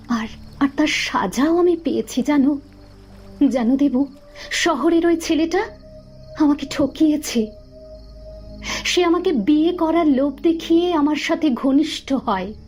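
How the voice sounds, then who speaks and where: A woman's voice: high-pitched, unhurried, pauses often, faint music behind. A woman voicing a young village woman, later a pleading ghost, in a horror cartoon. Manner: soft, tender, pleading.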